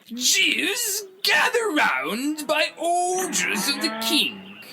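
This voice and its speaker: Woman as a very high-pitched, domineering soldier. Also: wildly swooping pitch, very noisy, full character voice. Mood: commanding. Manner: slow, shouted proclamations. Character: domineering Syrian-Greek soldier barking royal orders. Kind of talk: old-time radio reenactment. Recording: very loud farm animal ambience.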